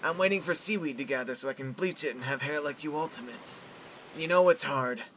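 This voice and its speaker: A man's voice: on the high side, a little fast, background noise, lightly acted. A man voicing a polite, eccentric teenage boy in a comic dub. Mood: cheerfully forlorn.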